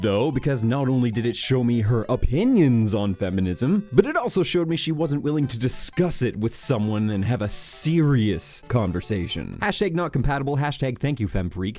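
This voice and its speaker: A man's voice: mid-range voice, a little mellow. A man voicing an earnest, self-important male feminist in a solemn, theatrical dramatic reading of an online thread. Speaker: guest narrator doing a dramatic reading.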